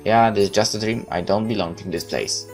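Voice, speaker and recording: A man hosting a gaming video, reading a visual novel aloud in a halting, dramatic way with asides. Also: on the low side, soft background music.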